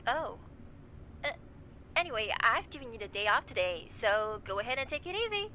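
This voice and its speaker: A woman's voice: fairly high pitch, big pitch swings, normal speed, sharp tone, slight background noise. A woman voicing a chirpy, upbeat talent manager in an anime dub. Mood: chirpy, businesslike.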